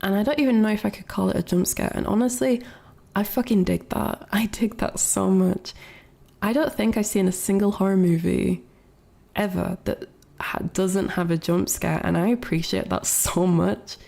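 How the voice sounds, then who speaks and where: A woman's voice: medium pitch, fairly even intonation, moderate pace, gravelly, warm tone. A young adult woman streamer chatting casually with her viewers, rambling with lots of fillers, sounding congested from a cold. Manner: rambling, many fillers and restarts. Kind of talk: casual chat with chat.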